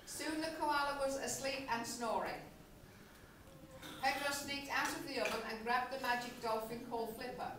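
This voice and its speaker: A woman's voice: high voice, normal speed, fairly bright tone. Echoey, distant woman narrating a puppet story. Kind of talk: storybook narration for amateur comic puppet show. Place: room in a nursing home. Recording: very echoey, noisy, distant room recording.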